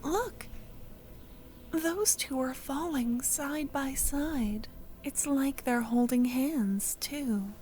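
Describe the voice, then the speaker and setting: A woman playing a shy, excitable, tender young friend in love in a soft-spoken ASMR audio roleplay, breathy and often near a whisper. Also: high voice, extremely slow. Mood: awed, excited.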